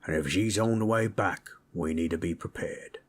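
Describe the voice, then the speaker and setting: A man voicing a gentle, proud orc warrior in an intimate audio roleplay, telling tales in a folksy, rough-hewn, husky way. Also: low voice, normal speed. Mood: earnest, resolute. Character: gentle, proud male orc warrior storyteller, affectionate, teasing. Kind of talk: intimate comfort roleplay storytelling.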